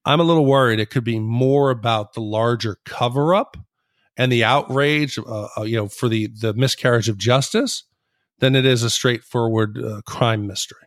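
A man's voice: mid-range voice, moderate pace, no echo. Man discussing TV in a dry recording. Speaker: podcast host. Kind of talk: TV recap podcast discussion.

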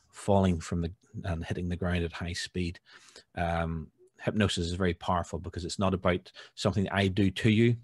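Guy in his 50s chairing a video call, raspy low voice, kind of halting. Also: no echo. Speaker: meeting chair, hypnotherapist. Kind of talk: informal meeting hosting and self-introduction. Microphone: computer mic on video call.

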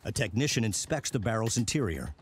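A man reading scripted television documentary narration in a measured way with dramatic emphasis. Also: lowish voice, very animated. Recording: music behind the voice. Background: background music.

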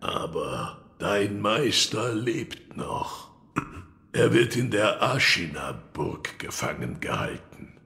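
Slow, gravelly old hermit mentor. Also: male voice, on the low side, very animated, sharp tone, exaggerated voice. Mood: grave, instructive. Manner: slow, brooding, cryptic. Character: old wood-carving sculptor, gruff cryptic mentor. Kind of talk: audio drama acting of a video game.

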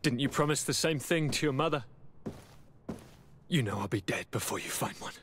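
A young man playing a theatrical, nervy and vulnerable aristocrat in a video game, dramatic and highly emotional. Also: expressive, normal speed, fairly bright tone, slight background noise, lightly acted. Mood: dejected. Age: young adult. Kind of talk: scripted video game acting.

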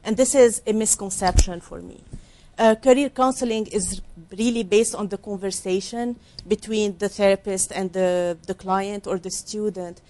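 A middle-aged woman from Lebanon, explaining her work as a career counselor in a soft, earnest way during a studio interview on a lapel mic. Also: mid-range voice, steady tone, normal speed. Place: small TV studio set, seated at a desk.

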